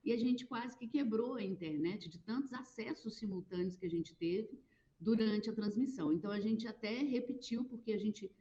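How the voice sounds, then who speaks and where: A Brazilian woman from public radio explains things in an animated way, often pausing on fillers. Her voice is mellow and middle-pitched. The recording sounds dull and lo-fi. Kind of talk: conversational interview answers.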